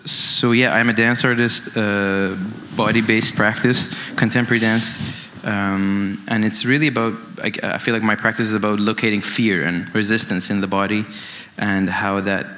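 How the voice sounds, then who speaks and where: A man in his late twenties from Iran giving an informal, reflective artist talk, halting and circling back over his phrases. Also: on the low side, little pitch movement, pushed and bright, slight background noise. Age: late 20s. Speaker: dance artist, panelist. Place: indoor event stage, amplified.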